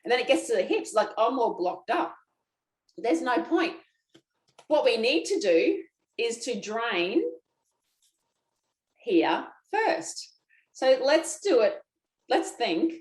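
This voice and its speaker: A chatty, folksy woman leads viewers through a self-massage routine, speaking at an unhurried pace. Her voice bounces around an echoey room. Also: a little high. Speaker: lymphatic drainage instructor. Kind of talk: guided self-massage class.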